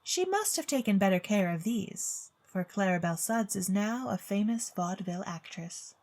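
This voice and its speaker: A woman narrating a scripted storybook tale in an expressive storytelling manner. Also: medium pitch, normal speed.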